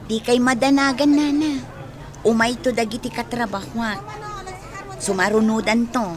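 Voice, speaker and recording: A woman's voice: a little high, fairly even intonation, normal speed, a faint crowd, lightly acted. An actress playing a kindly, helpful professional lady in a radio drama. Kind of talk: Ilocano radio drama acting.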